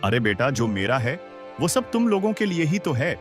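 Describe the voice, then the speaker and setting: Man acting an animated old father over music. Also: mid-range voice, normal speed, natural voice. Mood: patient. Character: worried, disappointed old farmer father. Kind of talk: Hindi cartoon moral story dialogue. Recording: music behind the voice.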